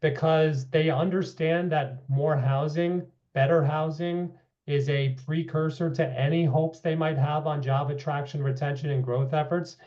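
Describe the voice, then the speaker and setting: A slightly hoarse man giving a public meeting presentation, walking through figures with frequent asides of "okay" and "you know". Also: on the high side, steady tone, a little slow, a little mellow. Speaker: housing policy presenter. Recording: dull lo-fi meeting audio.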